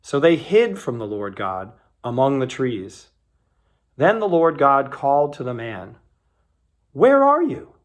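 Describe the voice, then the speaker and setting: A man giving an expressive, scripted reading of scripture, dramatizing the quoted speech as he goes. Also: mid-range voice, unhurried. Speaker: scripture reader.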